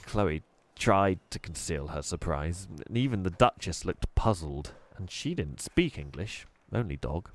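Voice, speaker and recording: Animated low-voiced man reading a storybook. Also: a little slow. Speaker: storybook reader. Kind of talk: expressive scripted storybook narration.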